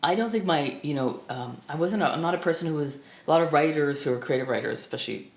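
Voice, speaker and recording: A woman in her fifties from Canada, whose first language was Estonian, recalling her life in a reflective, halting way with many restarts. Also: low voice, expressive, creaky. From Burlington, Ontario, Canada. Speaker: film critic guest. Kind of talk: reflective personal storytelling. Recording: echoey room.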